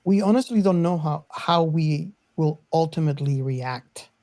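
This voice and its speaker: Guy hosting a movie podcast, soft dark tone, dry close sound, kinda hesitant and roundabout. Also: a little high, moderate pace, no echo. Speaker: podcast host. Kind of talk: casual film discussion.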